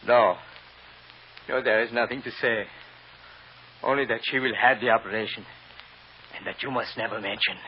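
A man's voice: mid-range voice, fairly even intonation, moderate pace, pauses often, lightly acted. A man playing a burdened, secretive immigrant husband and father in an old-time radio drama, speaking in a guarded, terse, weary way. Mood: agitated, stern. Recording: muffled, noisy old radio recording.

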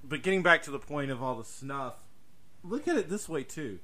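A man's voice: on the high side, expressive, normal speed, sharp tone. A man hosting a casual podcast conversation, speaking emphatically and repeating himself to drive points home. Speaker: podcast host.

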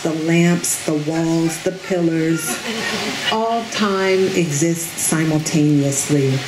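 A middle-aged woman reading her own poetry from a podium at an outdoor tent stage, in a measured, deliberate way. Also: fairly low pitch. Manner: slow, measured, deliberate delivery. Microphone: podium microphone on a stand. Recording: roomy sound with steady background noise.

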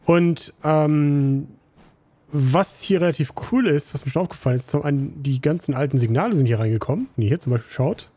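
A slightly hoarse, tired man casually commenting on a game he plays, rambling with many fillers. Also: a little high, a little fast, dark tone. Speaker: gaming channel host.

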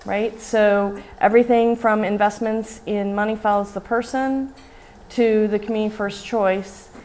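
A middle-aged woman giving a conference keynote at a podium microphone in a hotel hall, animated and often asking "right?". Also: medium pitch, unhurried, a little hiss or hum. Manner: animated, gestures widely, asks rhetorical "right?". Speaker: keynote speaker.

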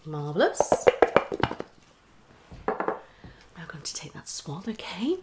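A British woman in her thirties speaking in a hushed, reassuring ASMR roleplay style as a dermatologist, half whispering in short repeated phrases. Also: fairly low pitch, big pitch swings, slow, very noisy. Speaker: ASMR creator playing a dermatologist. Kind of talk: ASMR medical roleplay, soft-spoken and whispered. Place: small room at home.

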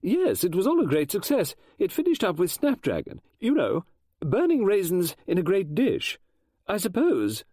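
A man's voice: sits high, huge pitch swings, normal speed, dark tone, breathy edge, no room sound, studio quality, voice pushed up, exaggerated voice. A man reading aloud, voicing a shaken, flustered middle-aged female novelist who talks in a breathless, faltering rush. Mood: eager.

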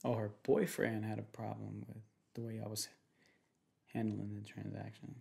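A young man speaking in a casual, slangy, halting way in an ASMR boyfriend roleplay. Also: on the low side, completely flat delivery, gravelly, warm tone. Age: young adult. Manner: slangy, laid-back, halting with repeated phrases. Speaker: ASMR creator playing a protective boyfriend. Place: small home room, indoors.

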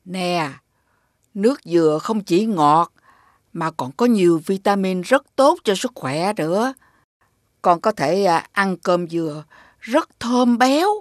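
A kindly cartoon grandmother, speaking very slowly in a lowish voice. She teaches gently in a southern Vietnamese accent, in a dry, close recording. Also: animated, dry recording. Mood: gentle, explaining. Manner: gentle, instructive. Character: kindly grandmother teaching children about country produce. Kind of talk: animated cartoon dubbing.

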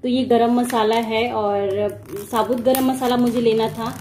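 A young woman from India talks through her day in a relaxed home vlog, addressing her viewers directly. Her voice sits a little high. The kitchen around her makes it ring with a noticeable room echo, and a faint hum of ambience runs underneath. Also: a little slow. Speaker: vlogger. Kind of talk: casual home vlog, showing groceries and explaining.

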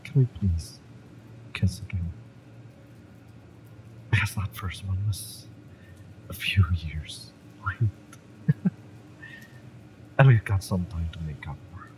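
A man playing a fiery, devoted mercenary in love, tough yet tender, in a romantic audio roleplay, with emphatic, halting delivery. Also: deep voice, animated, darkish tone, slight background noise, poor audio. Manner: impassioned, emphatic, halting delivery with breathy drops.

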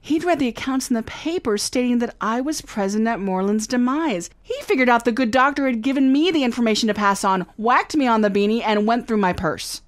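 A woman's voice: on the high side, brisk, few pauses, no echo, lightly acted. Woman as wisecracking female private eye, confident, old-time radio drama, dry studio. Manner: breezy, wisecracking narration. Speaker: actor.

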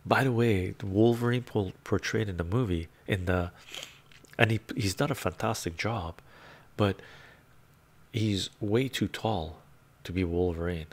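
A man's voice: fairly low pitch, unhurried. A middle-aged man whose first language is Romanian, chatting casually on a live stream from a room lined with bookshelves, with a rambling manner and frequent "right?" asides, speaking into a lapel mic. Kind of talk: casual live-stream chat, reading comments. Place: home room full of bookshelves.

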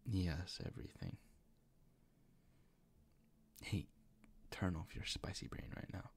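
A man playing a doting, gently dominant boyfriend in an intimate ASMR roleplay, speaking in a soft, coaxing, reassuring way with a breathy, gravelly whisper. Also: deep voice, slow, slight background noise, heavily acted. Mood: teasing, soothing. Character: doting, gently dominant, teasing boyfriend comforting his partner.

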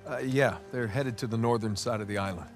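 A man playing a weary, wry adventurer in his thirties in a cinematic game, speaking naturally with halting understatement. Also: on the low side, soft background music, close to an everyday voice. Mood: urgent, businesslike.

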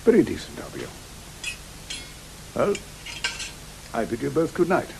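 An actor in a studio drama playing a proud, searching middle-aged author with a clipped, formal, old-fashioned gentlemanly manner and a gravelly voice. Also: male voice, mid-range voice, huge pitch swings, measured pace, noisy background, exaggerated voice. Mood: brisk, dismissive. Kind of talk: studio radio drama acting.

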